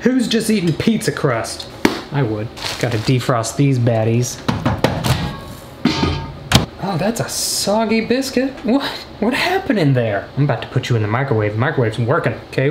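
A man in his twenties chatting through a comedic cooking vlog with deadpan, joking asides, recorded on a camera mic in a kitchen. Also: mid-range voice, moderate pace, music in the background. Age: 20s. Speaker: vlogger. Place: indoors, small apartment kitchen. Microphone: camera mic at a short distance. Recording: roomy kitchen echo, background noise.

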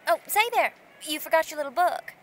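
An actress playing a sassy, forward young 1940s dame, brash and playful, in a period audio drama. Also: female voice, high-pitched, fairly quick, fairly bright tone, slight background noise. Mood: teasing.

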